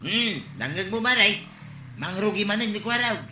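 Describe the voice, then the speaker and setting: A man's voice: high-pitched, animated, moderate pace, bright, forceful tone, a little hiss or hum, exaggerated voice. An actor playing an indignant male neighbor filing a complaint in a radio comedy drama. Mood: complaining. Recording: echoey room with music behind.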